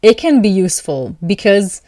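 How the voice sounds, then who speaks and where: A woman hosting an astrology video, talking calmly and thoughtfully to camera, with soft laughs between thoughts. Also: medium pitch, moderate pace, no room sound, studio quality. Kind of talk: casual spoken reflection to camera.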